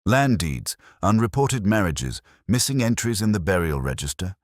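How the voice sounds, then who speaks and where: A man voicing a frank elderly woman calmly gossiping in a detective story, matter-of-fact. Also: deep voice, moderate pace, dry recording, clean studio sound.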